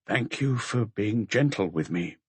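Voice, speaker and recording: A man's voice: fairly low pitch, animated, a little slow, husky, no room sound. A man voicing a shaken middle-aged husband confessing to murder, hesitant and subdued, in an audiobook. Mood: stunned.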